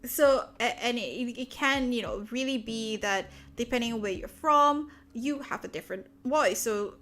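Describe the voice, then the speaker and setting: Chatty Malaysian woman streamer, slightly high. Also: fairly bright tone. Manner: rambling, many fillers and restarts. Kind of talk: casual chat.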